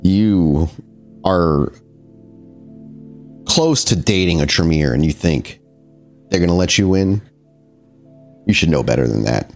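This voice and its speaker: A man voicing a stoic, scornful father figure who speaks in a low, dry, contemptuous tone. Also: lively intonation, takes it slowly, pauses often, faint music behind, heavily acted. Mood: mocking.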